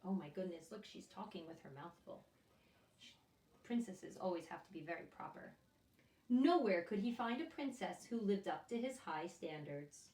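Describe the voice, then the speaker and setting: Lady doing storytime for little kids, super expressive, asks them stuff, echoey room and bit far from mic. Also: lowish voice, normal speed. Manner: expressive, addresses children directly with questions. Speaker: children's storyteller and teacher. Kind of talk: read-aloud picture book for young children with asides and questions. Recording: echoey room, distant microphone.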